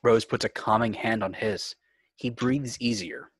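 A man narrating stage directions for a scripted fan table read in a measured, clipped way. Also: lowish voice, fairly even intonation, slightly rough, no echo.

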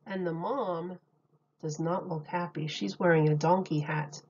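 A woman reads a children's picture book at an unhurried, slow pace. She speaks like a teacher, in simple phrases with questions to little listeners. The recording is slightly dull and lo-fi. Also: lowish voice, fairly even intonation, darkish tone, husky. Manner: simple, deliberate phrasing addressed to small children. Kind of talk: children's read-aloud with questions to young listeners.

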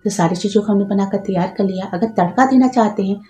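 A woman's voice: medium pitch, quick pace, mellow, roomy sound, slight background noise. A woman host giving patient, step-by-step instructions in a cooking tutorial voice-over, adding practical tips as she goes.

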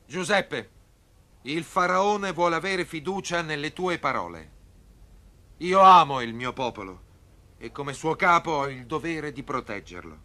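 Casting: solemn, commanding Egyptian king in animation, wide expressive pitch, forceful tone. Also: unhurried. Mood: troubled, resolute. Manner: solemn, declamatory. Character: commanding Egyptian pharaoh, grave and troubled ruler. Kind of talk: dubbed cartoon acting.

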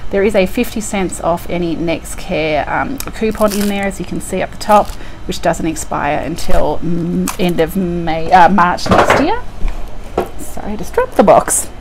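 A young woman with a hoarse, croaky voice from a cold, chatting casually to the camera at home with lots of ums. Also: lowish voice, measured pace, background noise. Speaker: couponing vlogger. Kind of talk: casual unboxing vlog. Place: indoors at home by a window. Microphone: lapel mic.

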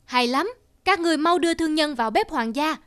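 A high-voiced, pompous cartoon king. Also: lively intonation, quick pace, fairly bright tone. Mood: curious, eager. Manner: pompous, exclamatory. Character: grand, curious, easily delighted middle-aged male king. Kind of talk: cartoon fairy-tale acting.

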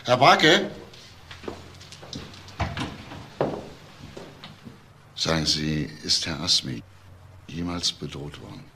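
Deep gravelly police inspector. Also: male voice, wildly swooping pitch, background noise, exaggerated voice. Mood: probing. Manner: probing, halting, clipped questions. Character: male police inspector, probing, controlled, businesslike. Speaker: actor. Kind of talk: German crime radio drama acting.

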